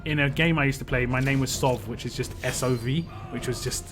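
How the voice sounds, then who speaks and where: A man talks loosely through a gaming live stream, rambling from topic to topic and answering his chat. His voice sits at an ordinary middle pitch, and game music plays clearly underneath. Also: a little fast.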